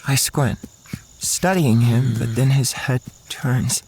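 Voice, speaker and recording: Young male lead: awkward, anxious college freshman narrating a drama, mid-pitched, over music. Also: takes it slowly, a little mellow, breathy edge. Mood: anxious, watchful. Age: young adult. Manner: hesitant, stammering in dialogue. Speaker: narrator and main character. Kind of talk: first-person audio drama narration.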